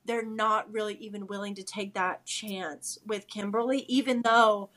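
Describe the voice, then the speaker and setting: A woman's voice: medium pitch, steady tone, moderate pace, fairly bright tone. A woman co-hosting a podcast, recapping in a casual, slangy, emphatic way. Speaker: podcast co-host.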